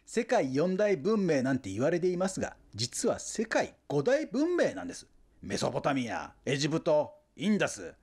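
An actor playing a pushy, fanatical hometown booster who lectures insistently and grandiosely in a comic radio drama. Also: male voice, a little high, wildly swooping pitch, moderate pace, a little mellow, husky, exaggerated voice. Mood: grandly boastful. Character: pushy, fanatical Nagoya booster, indignant salesman-like man.

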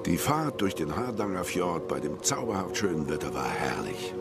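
Deep-voiced, cultivated court guest. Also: male voice, big pitch swings, moderate pace, over loud music. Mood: composed, appreciative. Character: cultivated male guest chronicling the emperor's voyage. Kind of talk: radio drama memoir reading.

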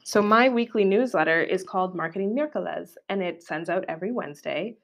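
A woman's voice: on the low side, brisk, gravelly. A young woman with a Canadian accent, hosting a solo podcast in a casual, chatty way with frequent fillers. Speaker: podcast host.

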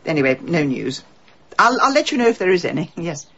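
A woman's voice: fairly low pitch, big pitch swings, brisk, fairly bright tone, heavily acted. An actress playing a brusque, grumbling middle-aged British woman abroad in a radio drama, sardonic and imperious. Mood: grumbling, impatient. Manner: imperious, sardonic, crisp complaining. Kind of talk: BBC radio drama acting. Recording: dull, slightly noisy radio drama recording.

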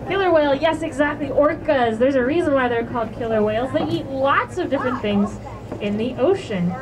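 A woman guide with a slightly high voice talks to passengers over a boat's speakers. She is chatty and playful and asks the crowd questions, with a steady roar of engine and wind behind her. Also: brisk. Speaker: whale watching tour naturalist. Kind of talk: guided tour commentary over a PA. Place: outdoors on a moving boat at sea. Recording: loud boat engine and wind noise.

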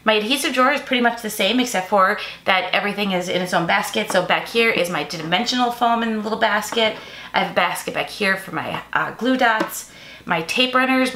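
A woman in her forties talks casually through her craft supplies, chatty and relaxed, sometimes stopping to correct herself. Her voice is bright and crisp. The room is echoey and has a faint hiss behind it. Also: mid-range voice. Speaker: craft channel host. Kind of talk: casual show-and-tell narration. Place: home craft room, indoors.

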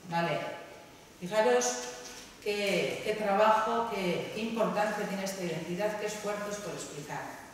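A woman's voice: mid-range voice, a little slow, a little hiss or hum. A woman with a Basque Country Spanish accent presenting research at a panel, hesitant with many fillers. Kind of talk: academic conference talk. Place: large university lecture hall. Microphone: table mic, far camera. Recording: echoey hall from far off.

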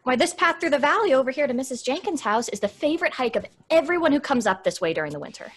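Schoolteacherly woman, sensible, lively intonation, fairly high voice, old-time radio drama. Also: quick pace. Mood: patient, instructive. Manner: explanatory, proper, animated. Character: sensible, schoolteacherly woman leading younger friends.

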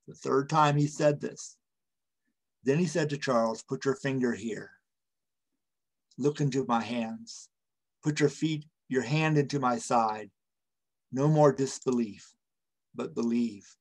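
A man in his sixties preaching a reflective sermon as a pastor, speaking deliberately in short phrases with pauses, into a computer microphone in a small room. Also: mid-range voice. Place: small room at home, indoors. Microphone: computer webcam or video call microphone.

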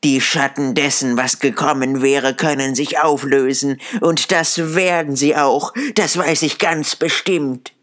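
A man's voice: a little slow, hardly any pauses, natural voice. A man playing a giddy old miser. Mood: giddy, excited. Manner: high, excited, laughing.

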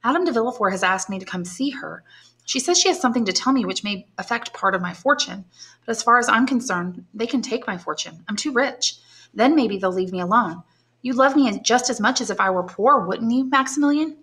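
A woman's voice: on the high side, lively intonation, brisk, fairly bright tone, pitched above the performer's own voice. A woman reading aloud and voicing an anxious young woman in love, earnest and pleading. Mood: anxious, tender. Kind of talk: audiobook character voice.

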